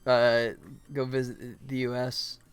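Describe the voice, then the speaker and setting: A man's voice: mid-range voice, completely flat delivery, takes it slowly, smooth. Chatty man livestreaming over prominent music. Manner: chatty, rambling, thinking aloud. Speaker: livestream host and solo indie game developer. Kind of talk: casual livestream chat while working.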